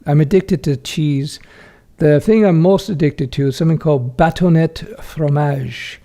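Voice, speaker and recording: Middle-aged guy with a gravelly mellow voice, chatty livestream q&a, lots of uh and restarts. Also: a little high, unhurried. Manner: frank, chatty, many fillers and self-corrections. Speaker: livestream host answering viewer questions. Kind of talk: casual livestream Q&A, reading chat aloud.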